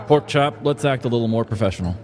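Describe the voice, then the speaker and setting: A man narrator voicing a serious, weary police sergeant with gruff authority in a comic story. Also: mid-range voice, fast, a little mellow, voice pushed up, close to an everyday voice. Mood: exasperated. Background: background music.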